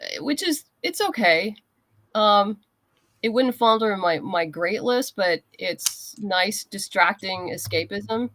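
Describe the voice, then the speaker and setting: A woman guest in a casual interview, chatting in a halting way as she thinks aloud. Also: mid-range voice, animated, fairly bright tone, no echo. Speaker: interview guest, comics writer and creator.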